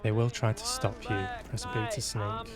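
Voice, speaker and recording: A man's voice: fairly low pitch, big pitch swings, normal speed. A man giving casual live game commentary, muttering to himself and reading prompts aloud. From Manchester, UK. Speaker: game streamer. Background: faint game music.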